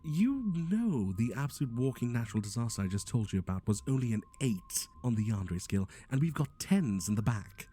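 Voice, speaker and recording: A man playing a playful matchmaker, mellow voice, swooping intonation, theatrical, teasing, chatty, ASMR roleplay. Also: mid-range voice, very animated, fairly quick, few pauses, a little hiss or hum, natural voice. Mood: incredulous. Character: chatty, playful male matchmaker for obsessive yandere boyfriends.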